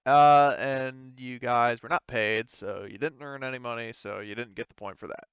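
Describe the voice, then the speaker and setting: Guy game mastering a tabletop rpg, smooth clear voice, swears casually, mic a bit muffled. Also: mid-range voice, normal speed, a bit bright, no echo. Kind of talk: casual chat running a tabletop roleplaying game.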